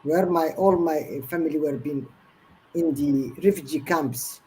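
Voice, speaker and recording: A woman's voice: low voice, measured pace, mellow, low-quality recording. Somali woman in her fifties, faint hiss. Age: 50s. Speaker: interview guest. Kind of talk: live online interview.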